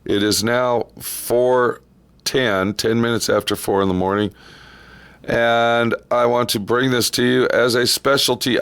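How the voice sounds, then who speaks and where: A man casually introducing a radio programme in a halting, informal manner. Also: medium pitch, fairly bright tone, no room sound. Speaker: radio host.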